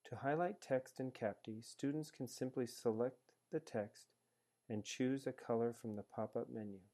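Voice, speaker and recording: Mellow male tutorial narrator. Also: mid-range voice, moderate pace. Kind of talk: scripted instructional narration. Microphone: screencast voice-over.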